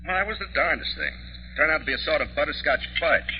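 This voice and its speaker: An actor playing an absent-minded middle-aged father and obsessive amateur scientist in a radio drama, with a preoccupied, mild, deliberate delivery. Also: on the high side, big pitch swings, muffled recording. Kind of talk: old-time radio drama acting. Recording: noisy, band-limited vintage radio recording.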